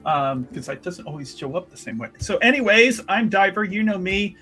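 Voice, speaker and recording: Male tabletop player, high voice for a man, casual rambling chat, faint music underneath. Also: animated, moderate pace, pushed and bright, slightly rough. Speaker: player in a tabletop roleplaying show.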